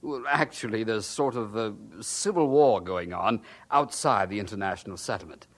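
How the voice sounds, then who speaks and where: A man's voice: fairly low pitch, moderate pace, fairly bright tone. An actor playing a polite, flustered missionary in his thirties in an audio drama, hesitant and stammering. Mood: apologetic, uneasy. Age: 30s.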